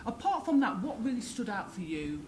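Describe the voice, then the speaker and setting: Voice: medium pitch, sing-song, brisk. Chatty interviewer over faint hiss. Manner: chatty, laughs along. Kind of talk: casual interview questions.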